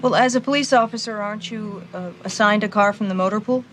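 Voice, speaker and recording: Actress as strict, officious tax auditor. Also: female voice, mid-range voice, quick pace, natural voice. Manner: officious, matter-of-fact. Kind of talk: television drama acting. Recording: faint background noise, room ambience.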